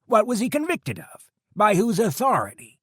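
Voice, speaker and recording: A gruff biker deputy who runs his town, as a male narrator puts him on, speaking in a raised, forceful register. He drawls his warnings with calm authority. The sound is dry and close. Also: high-pitched, normal speed, dry recording, pitched above the performer's own voice, heavily acted. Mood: commanding. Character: gruff biker deputy, middle-aged man, commanding.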